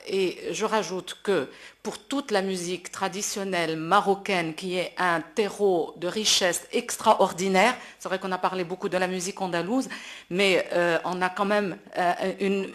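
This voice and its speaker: A woman's voice: mid-range voice, fairly bright tone. Woman from morocco, talking unscripted at a public debate, emphatic, repeats words while hunting for the right phrase. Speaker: classical opera singer speaking at a panel. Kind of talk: impromptu public speech at a debate.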